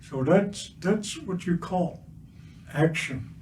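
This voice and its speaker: An older man whose first language is Blackfoot, giving an earnest public talk with many pauses and fillers and a touch of gentle humor. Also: sits high, animated. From Siksika Nation, Alberta, Canada. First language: Blackfoot (Siksika). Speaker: guest speaker, residential school survivor. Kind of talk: public talk at a livestreamed community event. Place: cultural centre event space. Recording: distant mic with faint ambient noise.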